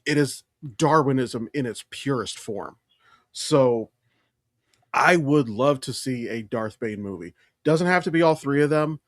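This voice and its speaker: Guy on a podcast, medium pitch, super into it, opinionated casual rambling, dry close mic. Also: expressive, a little slow, no echo. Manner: emphatic, opinionated fan explaining lore. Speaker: podcast co-host. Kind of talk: casual enthusiastic chat.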